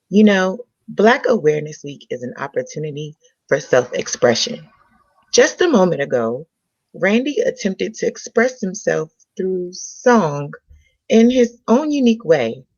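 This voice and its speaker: A self-confident young woman with lively intonation, played by an actress in a comedy read. She is measured and polite, and the recording is a little dull. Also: on the low side, no echo, exaggerated voice. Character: poised, self-confident young woman, community event organizer. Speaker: actor in a table read.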